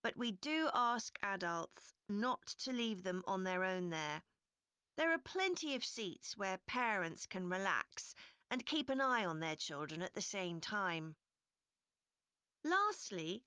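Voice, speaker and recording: A woman playing a park representative giving a measured, scripted informational presentation to visitors. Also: medium pitch, big pitch swings, sharp tone, no echo, full character voice. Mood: informative. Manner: measured, clearly explanatory. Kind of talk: scripted informational presentation, listening test recording.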